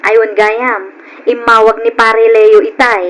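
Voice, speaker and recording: An actress playing a cheerful, dutiful young country wife in a radio drama, with an Ilocano accent. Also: female voice, a little high, level tone, slow pace, a crowd in the background, rough recording. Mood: friendly, homely. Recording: old radio drama, faint noise.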